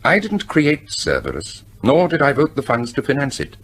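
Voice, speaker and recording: An actor playing a cold, self-assured weapons scientist with a British accent, speaking precisely and with detachment in a radio drama. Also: male voice, medium pitch, huge pitch swings, moderate pace, a little hiss or hum. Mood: cold, defiant.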